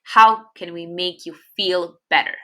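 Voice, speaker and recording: A young woman, a native Serbian speaker, teaching English grammar in a patient, unhurried way with many fillers. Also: fairly low pitch, fairly even intonation, fairly bright tone. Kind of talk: casual online grammar lesson.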